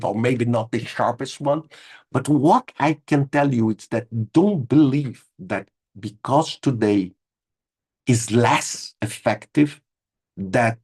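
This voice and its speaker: Husky-voiced man, emphatic webinar host. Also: mid-range voice, a little mellow. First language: Portuguese. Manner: emphatic, repeats words, says "okay?" a lot. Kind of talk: informal webinar talk answering chat questions. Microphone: video call.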